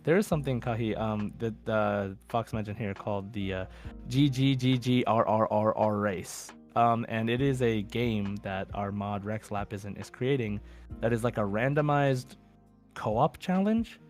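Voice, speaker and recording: A man's voice: fairly low pitch, unhurried, darkish tone, faint music behind. Casual chatty man hosting a podcast. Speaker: podcast host. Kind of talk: casual podcast chat, reading out listener messages.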